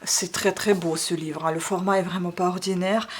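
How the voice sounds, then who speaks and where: A woman's voice: lowish voice, fairly even intonation, moderate pace, slightly rough. Chatty woman, French not her first language. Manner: chatty, hesitant with frequent ums, enthusiastic about books. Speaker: book vlogger. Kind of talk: casual book presentation.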